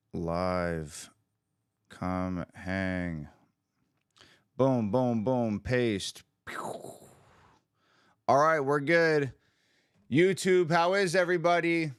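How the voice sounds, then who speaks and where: A man hosting his own livestream, a musician chatting casually with viewers, upbeat and hyped, his voice a little raspy from screaming the night before. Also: fairly low pitch, no echo, clean studio sound. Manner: upbeat, hyped, talks to the chat.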